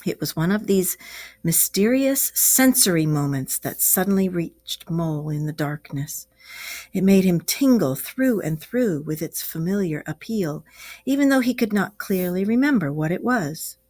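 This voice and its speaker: A teacher reading a classic animal story aloud to children in a slow, expressive storytelling voice. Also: female voice, lowish voice.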